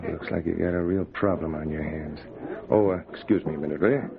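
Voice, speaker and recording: Homespun, hedging frontier cafe keeper, deep gravel voice, radio western, thin noisy sound. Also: male voice, fairly even intonation, quick pace, a crowd in the background. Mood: wary, folksy. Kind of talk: 1950s radio drama acting. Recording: noisy, narrow-band old radio recording.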